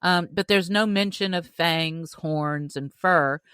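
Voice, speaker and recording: Low-voiced woman in casual discussion. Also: takes it slowly, fairly bright tone, clear, resonant tone, no echo, studio quality. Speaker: podcast co-host.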